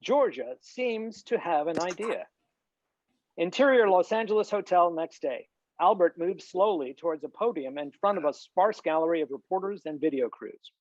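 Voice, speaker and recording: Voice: medium pitch, animated, moderate pace, darkish tone, dry recording. A slightly dull, dry video call recording of a narrator with a mid-range voice. The delivery is scripted narration, reading out stage directions for a screenplay.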